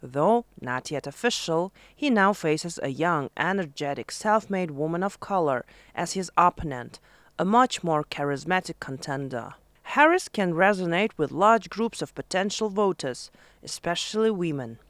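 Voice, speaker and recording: Male news narrator, high voice, smooth, dry studio sound, formal read. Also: expressive, fairly bright tone, no echo. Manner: measured, formal delivery. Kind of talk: scripted news voice-over. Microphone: studio voice-over mic.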